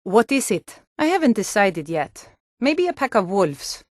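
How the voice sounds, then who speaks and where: A woman's voice: mid-range voice, big pitch swings, a little slow, dry recording, clean studio sound. An actress voicing a hardy, no-nonsense Nord townswoman and guard in a fantasy video game, with a firm, plain-spoken delivery. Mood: stern, commanding. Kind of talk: scripted fantasy game dialogue.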